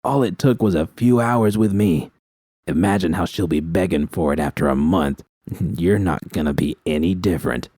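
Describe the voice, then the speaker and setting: A man's voice: low-pitched, normal speed, darkish tone, no echo, studio quality. A man voicing a menacing male gang captor in an audiobook, mocking and gloating.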